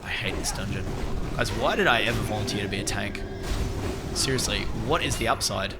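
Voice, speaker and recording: A man live-streaming a game and chatting to viewers in an excitable, swearing way, recovering from a lost voice. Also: on the low side, a little fast, over loud music. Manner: excitable, swears, shouts out chat members. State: recovering from losing his voice. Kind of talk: casual gaming stream chat.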